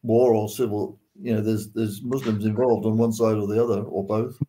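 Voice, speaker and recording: Low-voiced man on a discussion panel. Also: level tone, moderate pace, warm tone, breathy edge, low-quality recording. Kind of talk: casual discussion. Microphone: video call microphone. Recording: video call with faint noise, clicks.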